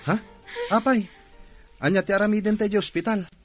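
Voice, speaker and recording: A man's voice: sits high, fairly even intonation, brisk, soft background music, close to an everyday voice. A man playing a worried, respectful employee in a radio drama, earnest and urgent, with an Ilocano accent. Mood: alarmed.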